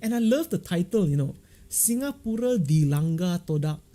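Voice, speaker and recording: A man with a Malaysian accent hosting a casual livestream, chatting and telling stories in an animated, jokey way full of local slang. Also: high voice, normal speed. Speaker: livestream host. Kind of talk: casual live-stream chat and storytelling.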